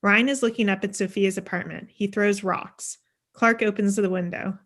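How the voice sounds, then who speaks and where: A young woman with a medium-pitched voice narrates a screenplay. She reads scene headings and action lines in a scripted style over a video call. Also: fairly even intonation, moderate pace, a bit bright. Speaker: stage direction reader.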